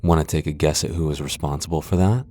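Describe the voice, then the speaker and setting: Man playing a very deep, sardonic vampire lord. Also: steady tone, quick pace, noisy background. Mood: scornful, taunting. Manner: sardonic, theatrical, taunting. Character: centuries-old male vampire lord, haughty, taunting, tsundere. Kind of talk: ASMR fantasy roleplay acting.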